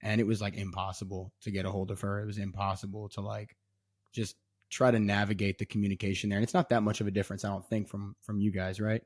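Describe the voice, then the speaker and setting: A man's voice: fairly low pitch, little pitch movement, quick pace, no echo, clean studio sound. A man in his twenties from Maryland with an American accent, a podcast guest and minister chatting casually with frequent restarts. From Maryland, USA. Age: 20s. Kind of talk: casual interview chat.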